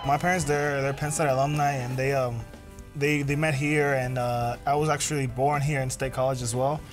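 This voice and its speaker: A man's voice: mid-range voice, little pitch movement, normal speed, music in the background. A young man from Puerto Rico answering hesitantly, often repeating words. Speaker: student. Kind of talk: interview answers.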